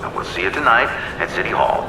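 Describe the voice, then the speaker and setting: Very deep-voiced older deputy mayor. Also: male voice, animated, fast talker, far from the mic, crowd noise. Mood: placating. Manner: placating politician, hesitant. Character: genial, flustered older deputy mayor and grandfather. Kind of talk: audio drama acting. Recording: roomy, off-mic, street noise behind.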